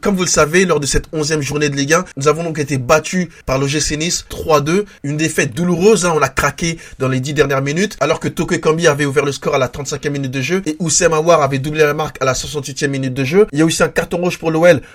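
A man speaks with a blocked nose and a sore throat from a cold. His voice sits a bit high for a man, and he talks frankly, repeating words and restarting as he goes. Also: steady tone, fast, hardly any pauses. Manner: frank, repetitive restarts, stammers when tired. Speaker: football podcast host. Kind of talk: solo match debrief podcast.

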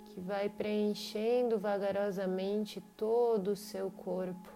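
Slow-paced Brazilian woman guiding meditation. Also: medium pitch, fairly even intonation, dark tone. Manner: unhurried, long pauses between short phrases. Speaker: guided meditation leader. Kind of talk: guided meditation. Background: meditation music with singing bowls.

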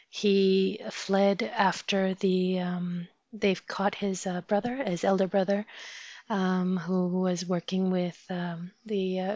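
Female interview guest, lowish voice, halting delivery, dry dull lo-fi call audio. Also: a little mellow, dry recording. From Afghanistan. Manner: thoughtful, many pauses and fillers. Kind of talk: interview conversation. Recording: dull lo-fi sound.

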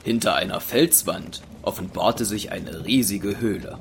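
A man's voice: sits low, a little slow, vocal fry, noisy background. A man narrating a German audio drama in a scripted, dramatic storytelling style.

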